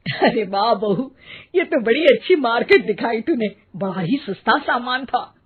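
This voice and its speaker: An older mother-in-law from a storybook drama, acted by a woman, cheerful and keen on money. The voice is husky and fairly high, full of homely exclamations, and the sound is thin and phone-like. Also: lively intonation, a little slow. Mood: delighted. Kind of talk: acted Hindi moral story dialogue. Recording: muffled, phone-like narrow band.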